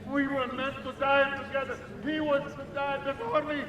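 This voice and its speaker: An actor in an audio drama playing a bitter old condemned criminal, ranting with shrill, desperate fury. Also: male voice, flat delivery, moderate pace, far from the mic, dull audio, background noise. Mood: frantic fury. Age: older. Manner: shrill, hysterical. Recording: echoing public square with crowd.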